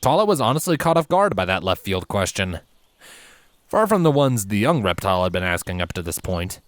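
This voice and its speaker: A man's voice: fairly low pitch, very animated, brisk, dry recording. A man reading a scripted fantasy story aloud as its narrator. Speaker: fan fiction reader, narrator. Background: background music.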